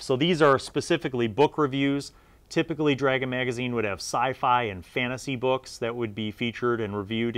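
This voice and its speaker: A man's voice: medium pitch, no echo. Casual middle-aged man, dry close sound. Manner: conversational, nostalgic, slangy. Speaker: host of a video series on old gaming content. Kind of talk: casual vlog review.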